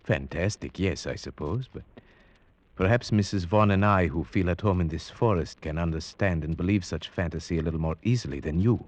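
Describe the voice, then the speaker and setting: A man playing a cultured, brooding huntsman who narrates gravely and formally in an old radio drama. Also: low-pitched, brisk. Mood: thoughtful, courteous. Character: cultured Slavic huntsman, brooding, at home in forests. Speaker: narrator and character. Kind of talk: 1940s-50s radio drama acting.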